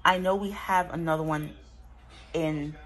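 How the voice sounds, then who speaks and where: A woman's voice: deep voice, fairly even intonation, a little slow, fairly bright tone. A woman in her thirties chatting casually as she shows off her groceries at home, candid and unfiltered. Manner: chatty, candid, talks as she handles items off camera. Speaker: vlogger showing a grocery haul. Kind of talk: casual chat. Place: home, indoors. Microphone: phone camera held by the speaker.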